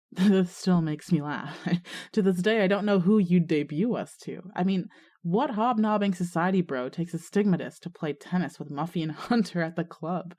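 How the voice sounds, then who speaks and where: A woman playing a wry storyteller who looks back on her school days in a scripted audio drama, conversational and deliberate. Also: on the low side, darkish tone, natural voice. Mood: wry amusement. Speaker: narrator of a fiction podcast.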